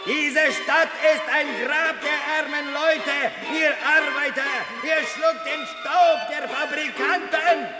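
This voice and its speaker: An actor playing a fervent male revolutionary agitator, declaiming and exclaiming in a radio drama speech. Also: very high-pitched. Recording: echoing hall, loud music behind.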